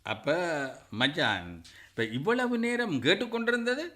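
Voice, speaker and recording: An actor with a Sri Lankan Tamil accent plays a bitter middle-aged brother-in-law in a radio drama, scornful, forceful and threatening. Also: male voice, high voice, wildly swooping pitch, normal speed, exaggerated voice. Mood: angry.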